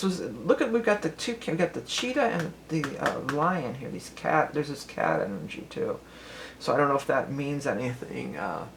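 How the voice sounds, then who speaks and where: A woman with a lowered voice, doing a chatty, rambling tarot reading for her vlog, full of fillers and restarts. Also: gravelly, slight background noise. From Cleveland, Ohio. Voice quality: lower voice than she once had. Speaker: tarot reader and musician.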